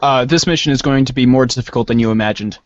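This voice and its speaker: A man's voice: mid-range voice, fast, dull audio. A man taking part in a group reading, speaking in a matter-of-fact way with slightly hesitant starts.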